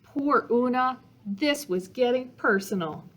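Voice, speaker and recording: A woman's voice: on the high side, expressive, unhurried, fairly bright tone. A woman reading a children's picture book aloud as a storytime reader, with dramatic emphasis meant to engage young listeners.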